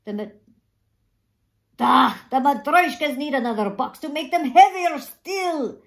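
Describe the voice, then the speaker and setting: A woman's voice: sits high, expressive, unhurried, creaky, a bit bright, voice pushed up, exaggerated voice. A woman voicing an exasperated, dramatic old grandfather in a storybook, with theatrical exclamations and sighs.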